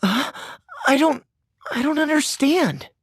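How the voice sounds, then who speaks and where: An actress playing an earnest young heroine who leads a party of adventurers in a video game, composed and questioning. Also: female voice, high voice, animated, slow pace, no echo, clean studio sound. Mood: bewildered.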